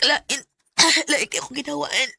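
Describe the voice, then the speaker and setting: A woman's voice: sits high, measured pace, creaky, sharp tone, a little hiss or hum, exaggerated voice. A woman playing an anguished, guilt-torn young woman in a Bisaya radio drama, with a Cebuano Filipino accent and emotional, sighing delivery. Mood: anxious, guilty. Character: Mina, anguished young woman secretly loving best friend's boyfriend.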